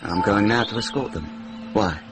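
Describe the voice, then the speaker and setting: A man playing a low-voiced medieval deputy sheriff. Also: close to an everyday voice. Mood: frank. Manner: brisk, matter-of-fact, direct. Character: practical, candid medieval deputy sheriff and lord. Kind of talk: radio drama acting. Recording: noisy radio drama recording.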